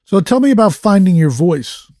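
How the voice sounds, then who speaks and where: A man's voice: sits high, sing-song, normal speed, warm tone, no echo, studio quality. A man hosting an interview, asking brief, direct questions about records and songs. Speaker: interview host.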